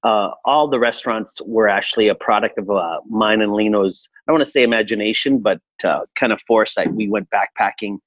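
A man in his forties from Chile, a restaurateur answering interview questions in a relaxed, reflective way with frequent fillers. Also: on the low side, brisk, few pauses, no room sound, dull audio. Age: 40s. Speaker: restaurateur guest.

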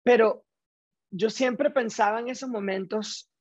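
A man's voice: high-pitched, a little slow, dry recording, dull audio. A man from Caracas with a Venezuelan accent, telling his story casually and emphatically as an interview guest. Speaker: interview guest, stage actor.

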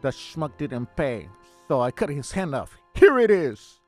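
A man playing a loud, hot-tempered mob enforcer in an amateur improvised gangster scene. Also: on the high side, very animated, measured pace, no room sound, soft background music. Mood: menacing. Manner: shouting, tough-guy talk.

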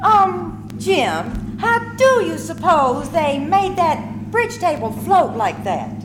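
A woman playing a placating, gently chiding middle-aged wife and mother in a 1950s-style radio family comedy. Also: extremely high pitch, big pitch swings, slow, over music, heavily acted. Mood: placating, musing. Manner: polite, chiding, conversational. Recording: echoey, noisy live stage recording.

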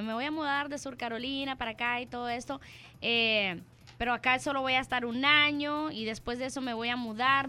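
Bright-voiced Mexican woman host. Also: a little high. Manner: chatty, frequent affirming fillers. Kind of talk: casual radio chat.

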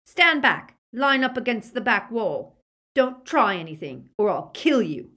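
A woman's voice: on the high side, very animated, measured pace, sharp tone, pitched above the performer's own voice, heavily acted. A woman voicing a threatening teenage boy bully in an audiobook, with a shaking voice. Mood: nervous, threatening.

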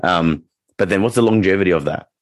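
A man with a deep, low voice talks through his thoughts on the trade in a loose, rambling way, circling back with restarts and "like"s. The sound is dry and close, with no room around it. He speaks as a working dog trainer in an easygoing podcast chat. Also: fast, no room sound. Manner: rambling, frequent restarts and fillers.

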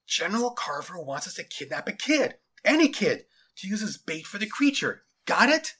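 A narrator voices an obedient soldier in a storybook adventure. He is brusque and impatient. The delivery is tense, with animated rises and falls. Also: medium pitch, moderate pace, husky, voice pushed up, full character voice. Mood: insistent. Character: tall soldier, gruff impatient obedient henchman. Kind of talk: dramatized storybook character voice.

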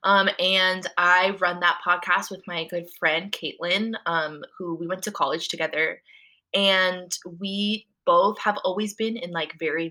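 A woman's voice: lowish voice, bright, forceful tone. A young woman talking as a podcast guest in a casual interview, chatty and full of "like" and "um" fillers.

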